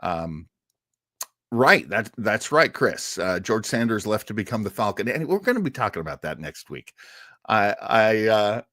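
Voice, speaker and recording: An American man speaks as a chatty live stream host, with very lively, swooping intonation. He rambles jokily from one aside to the next in a casual style. The sound is close and dry. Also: on the low side, normal speed, no room sound. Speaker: live stream host of an old-time radio show. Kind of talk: casual live chat with viewers.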